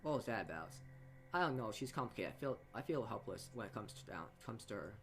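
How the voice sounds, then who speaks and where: A man's voice: on the low side, very animated, quick pace, a bit bright. A man narrating a visual novel aloud as a let's play host, often stumbling over words and re-reading them. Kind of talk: reading game text aloud with asides. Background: game music.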